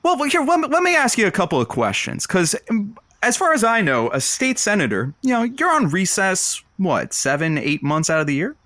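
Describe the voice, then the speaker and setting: An actor playing a radio producer filling in as host of a lonely-hearts show, wry and philosophical, a little hesitant in conversation. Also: male voice, high voice, huge pitch swings, fairly quick, sharp tone, no echo, heavily acted. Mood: earnest, encouraging. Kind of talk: radio call-in monologue and banter.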